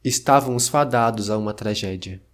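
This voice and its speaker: A man's voice: fairly low pitch, moderate pace, close to an everyday voice. Man as a solemn ancient chronicler. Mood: solemn, grave. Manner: solemn, formal storytelling. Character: ancient male survivor of a fallen dynasty, solemn chronicler. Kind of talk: scripted dramatic narration.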